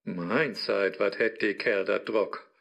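An actor playing a grumpy, sly devil living in a phone app, speaking rhymed radio play lines with a Low German accent and theatrical sarcasm. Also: male voice, takes it slowly, vocal fry, a bit bright, muffled recording, full character voice. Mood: grumpy, sleepy. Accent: Low German, northern Germany. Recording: music behind the voice.

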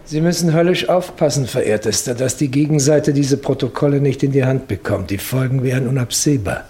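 An actor playing a grave, cautious state secretary with formal, precise diction, in 1960s German radio play style. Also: male voice, mid-range voice, brisk, dark tone, lightly acted. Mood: stern, instructing. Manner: formal, precise, urgent, hesitant at times.

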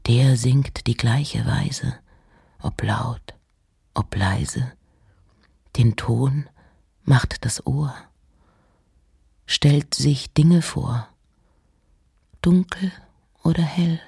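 An actress playing an introspective nineteenth-century woman poet in a radio play, reciting in a breathy, hushed, deliberate way with pauses between short phrases. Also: a bass voice, full character voice. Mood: hushed, pensive. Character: Emily Dickinson, introspective 19th-century American woman poet. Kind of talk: radio play, poetic recitation of poems and letters.

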